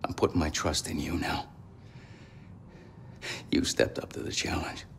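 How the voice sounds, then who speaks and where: An actor playing a gruff, cynical middle-aged militia leader in a game, grudging and preachy in manner. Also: male voice, extremely low pitch, fairly even intonation, measured pace, slight background noise, full character voice. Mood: bitter conviction.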